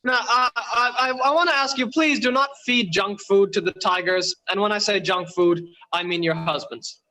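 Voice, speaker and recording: A young man from Bangladesh with a Canadian-influenced accent delivers a dry, deadpan stand-up set from home over a video call. His voice is high and strikingly bright. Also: normal speed, low-quality recording. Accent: Canadian-influenced English. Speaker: stand-up comedian.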